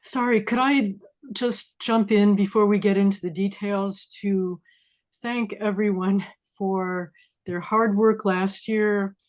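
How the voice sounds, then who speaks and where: A woman's voice: mid-range voice, fairly even intonation, slow pace. Woman, organizer, earnest, emphatic, heartfelt thanks, online meeting. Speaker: group organizer.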